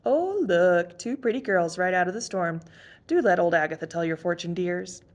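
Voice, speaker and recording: A woman's voice: lowish voice, lively intonation, normal speed, close to an everyday voice. Woman putting on a doting old fortune teller. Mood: coaxing. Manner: doting, sing-song, calls everyone dear. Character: fussy, cooing old female fortune teller, tiny and bustling.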